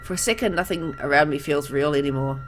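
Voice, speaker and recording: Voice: mid-range voice, fast, a bit bright, soft background music. A game player reading a visual novel aloud and adding informal commentary and reactions. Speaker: gamer, let's player.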